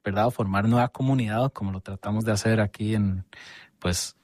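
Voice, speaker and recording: A man's voice: on the low side, fairly even intonation, normal speed, darkish tone, no room sound, clean studio sound. Rambling male interview host. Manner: rambling questions with many fillers. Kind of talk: casual interview.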